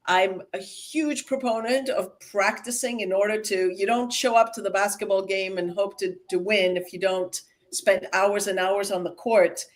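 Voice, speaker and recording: A woman's voice: mid-range voice, fairly even intonation, moderate pace, few pauses. A woman hosting an interview as a communication coach, emphatic and enthusiastic.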